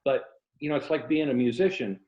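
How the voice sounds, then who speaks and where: A man's voice: mid-range voice, a little fast, a little mellow. Older American man, roomy dull call audio. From Tampa, Florida, United States. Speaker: interview guest, writer and former journalist. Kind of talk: casual conversational storytelling. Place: indoor room, remote video call.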